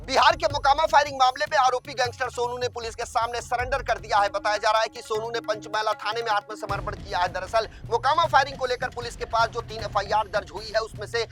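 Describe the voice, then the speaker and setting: A man's voice: extremely high pitch, steady tone, fast talker, few pauses. A man reading a scripted news bulletin as anchor, formal and emphatic. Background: music bed.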